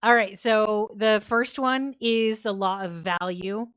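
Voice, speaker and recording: A woman's voice: mid-range voice, fairly even intonation, slow, a bit bright, smooth, dry recording, highs cut off. A woman talking casually as a panelist in a book club podcast discussion.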